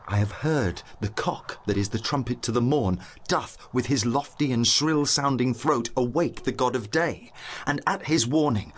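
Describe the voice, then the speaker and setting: A man's voice: medium pitch, a bit bright, slight background noise. An actor playing a learned, earnest young nobleman on night watch, declaiming verse in a dramatised Shakespearean audiobook. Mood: hushed wonder. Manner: eloquent, declamatory verse delivery.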